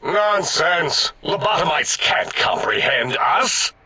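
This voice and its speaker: A man voicing an irritable, bombastic mad-scientist brain in a machine for a video game, over-enunciating with indignation and a harsh rasp. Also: on the high side, lively intonation, slow pace, heavy background noise. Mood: furious. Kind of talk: theatrical comic video game acting.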